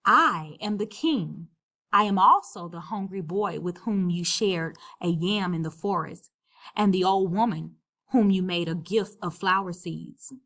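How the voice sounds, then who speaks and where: A woman voicing a benevolent shape-shifting snake king in a storybook read-aloud, calm and stately. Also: lowish voice, a little slow. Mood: calm, tender.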